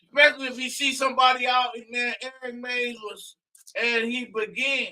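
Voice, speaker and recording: A man co-hosting a casual community talk show, talking loudly and emphatically in long rambling runs. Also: very high voice, level tone, moderate pace, distant mic, poor audio. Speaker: co-host.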